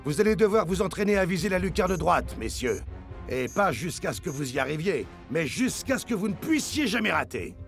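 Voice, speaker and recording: An actor playing a shrewd, demanding football coach in a French cartoon dub, authoritative and emphatic. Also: male voice, high voice, big pitch swings, brisk, creaky, soft background music, exaggerated voice. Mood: commanding.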